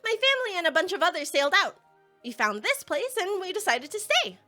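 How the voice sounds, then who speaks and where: A perky young village girl for a cartoon fan dub, acted by a woman, with a very high, bright voice. She is bubbly and slangy, swinging freely in pitch with playful, feisty eagerness. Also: sing-song, brisk, faint music behind, full character voice. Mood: chatty explaining. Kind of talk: animated fan dub acting.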